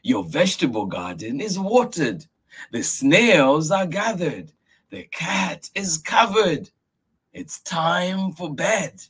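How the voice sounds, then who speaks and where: Give me a man playing a gentle old grandfather from a storybook, patient and raised a little in pitch. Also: big pitch swings, slow, slightly rough, exaggerated voice. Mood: patient coaxing. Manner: patient, repeating himself gently.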